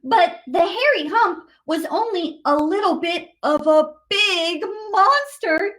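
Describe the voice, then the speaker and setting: A woman with a high, lively voice reads a children's picture book aloud. She takes her time, swings expressively through the sentences and adds chatty asides for young listeners. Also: takes it slowly, rough recording. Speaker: storytime host reading to children.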